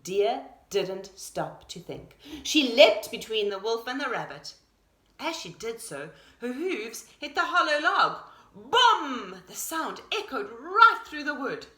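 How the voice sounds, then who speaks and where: Female storyteller for small children, expressive and explanatory, highish lilting voice with room echo. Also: takes it slowly. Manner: animated, explanatory. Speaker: pre-primary teacher, storyteller. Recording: roomy echo.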